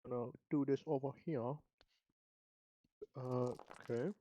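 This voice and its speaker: A man's voice: medium pitch, fairly even intonation, takes it slowly, dark tone, slight background noise. A man chatting casually as a tabletop game player, tentatively thinking his moves through aloud. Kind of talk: casual chat. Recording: dry, slightly muffled voice mic.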